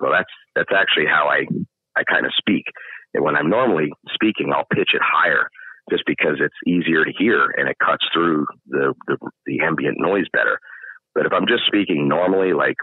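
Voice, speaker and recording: A middle-aged man with a low baritone voice talks through an interview, thinking aloud and restarting his sentences often. His voice comes over a narrow, phone-like line with no room sound at all. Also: moderate pace, husky, dry recording. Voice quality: deep baritone speaking voice. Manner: thoughtful, explains at length with many restarts. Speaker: guest singer. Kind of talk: casual interview chat.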